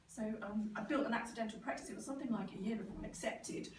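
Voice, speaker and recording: A middle-aged British woman with a croaky, sore-throated voice, giving an informal, animated talk and sometimes mimicking other people. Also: a little high, rapid-fire. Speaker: speaker, neurodevelopment specialist. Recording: roomy, distant microphone, clear background noise.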